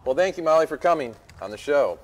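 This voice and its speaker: A man's voice: a little high, animated, fast talker. A man hosting a show, bantering casually in short, agreeable remarks during a cooking demonstration. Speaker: show host.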